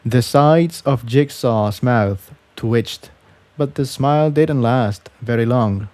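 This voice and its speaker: Guy reading a story out loud, slow n mellow, dry close sound. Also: medium pitch, dry recording. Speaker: fan fiction narrator. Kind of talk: scripted story narration.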